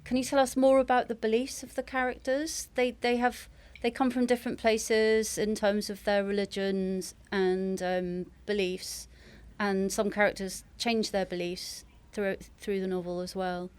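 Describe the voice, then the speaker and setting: A woman's voice: medium pitch, little pitch movement, unhurried, a little mellow, clear, resonant tone. A woman interviewing in a conversational manner, as on a book programme.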